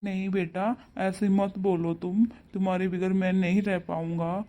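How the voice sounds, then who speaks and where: A man playing a stern, high-voiced father. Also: little pitch movement, a little slow, highs cut off. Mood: tender. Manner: scolding loudly, then softening to coax. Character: stern father defending his daughter. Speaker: actor in a family drama. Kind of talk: amateur family drama acting.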